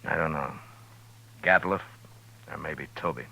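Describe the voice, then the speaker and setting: An American actor playing a terse, skeptical, world-weary frontier marshal in a 1950s radio drama, with a dry, probing manner. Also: male voice, a bass voice, unhurried, creaky, bright, forceful tone, heavily acted. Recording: noisy vintage 1950s radio recording.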